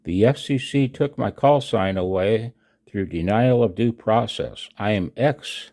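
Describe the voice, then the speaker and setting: An American man in his sixties reading his own announcement aloud, emphatically and with dramatic pauses, as a radio hobby vlogger. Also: on the low side, dark tone. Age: 60s.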